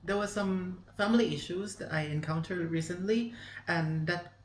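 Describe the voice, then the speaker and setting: Thai woman, low pitched, careful and polite interviewee, roomy echo, faint noise. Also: moderate pace. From Thailand, Bangkok. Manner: polite, careful, searching for words. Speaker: interviewee, charity centre helper. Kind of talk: interview answers.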